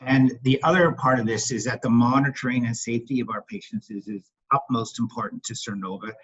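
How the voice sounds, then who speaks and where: A husky man speaking as a company executive, answering questions on an investor call in a halting way, repeating words for emphasis. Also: medium pitch. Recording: dull, lo-fi conference call audio.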